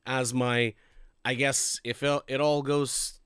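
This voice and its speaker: A man's voice: medium pitch, level tone, slow pace, a bit bright, clean tone, dry recording. A man hosting a livestreamed call-in show in casual, upbeat chat with viewers, nasal and congested from a cold, his breathing audible on a close microphone. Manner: chatty and upbeat, addressing viewers directly. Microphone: close streaming microphone.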